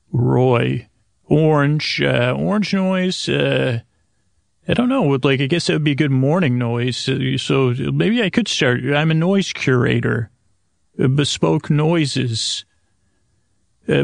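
A man hosting a bedtime podcast in a rambling, improvised monologue, droning along in a halting, whimsically tangential way. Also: medium pitch, creaky, no echo, clean studio sound. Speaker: podcast host.

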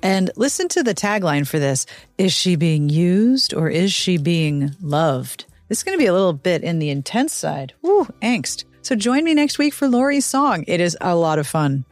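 Low-pitched woman hosting a podcast. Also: very animated, normal speed, dry recording, soft background music. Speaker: podcast host. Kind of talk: podcast outro and host-read ad.

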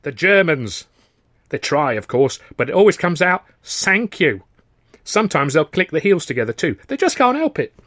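Man acting a fussy, high-pitched little old Englishman. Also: sing-song, normal speed, a bit bright, dry recording, studio quality. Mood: fussy, friendly. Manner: eager, anxious, chattering.

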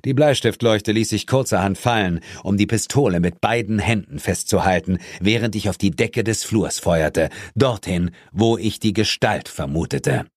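A man's voice: on the low side, moderate pace, hardly any pauses, dry recording. A man narrating a horror-comedy audiobook in a dramatic scripted style, recorded in a studio. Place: dry studio.

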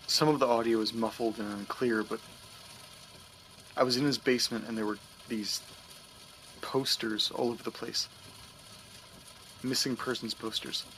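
An actor playing an anxious, bewildered man in an audio drama, speaking hesitantly and stammering over car engine rumble. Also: fairly bright tone, natural voice. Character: anxious, bewildered man recounting strange memory loss. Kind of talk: naturalistic audio drama acting.

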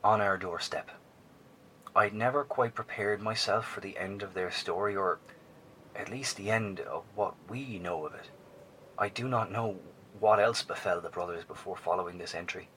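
A sombre chronicler in a horror audio drama. His voice is fairly low with a bright, forceful edge, and he narrates in a measured, reflective way over a faint rumble. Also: rough recording. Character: sombre male chronicler recounting survivors' fate.

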